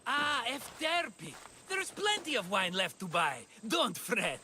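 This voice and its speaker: A high, bright wheedling schemer. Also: male voice, huge pitch swings, a little slow, slight background noise, heavily acted. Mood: coaxing. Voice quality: fussy, wheedling, hesitant. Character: chatty scheming middle-aged man, fussy and coaxing.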